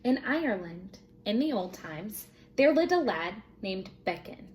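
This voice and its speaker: A woman teacher reading a children's storybook aloud in an expressive narrating style. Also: mid-range voice, a little slow, lo-fi sound.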